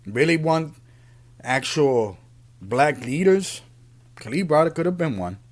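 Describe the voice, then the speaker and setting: A man, born in Puerto Rico and raised in the Bronx, giving an earnest, unscripted opinion monologue as a podcast host, often halting and repeating himself. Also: a little high, lively intonation. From Puerto Rico, raised in the Bronx, New York City.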